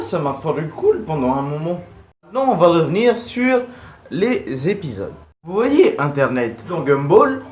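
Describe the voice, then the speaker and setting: High-pitched, animated young man, echoey room. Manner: informal, digressive, slangy. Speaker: video host. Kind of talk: casual video commentary.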